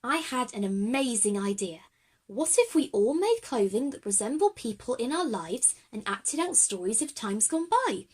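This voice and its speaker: A woman's voice: a little high, big pitch swings, normal speed, husky. A woman voicing a friendly, enthusiastic female textiles teacher in an audio drama for children.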